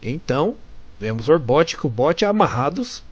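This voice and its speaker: Animated, higher-pitched Brazilian man narrating stories. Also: moderate pace, dull audio. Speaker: narrator and fan translator of comic stories. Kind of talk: conversational comic-story recap narration.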